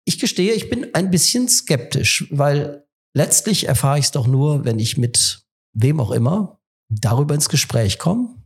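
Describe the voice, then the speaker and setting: A man hosting a relaxed kitchen-table podcast in a rectory kitchen. He has a head cold and thinks aloud, pausing often with fillers. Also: a little high, creaky, husky. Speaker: podcast host. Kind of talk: casual conversation.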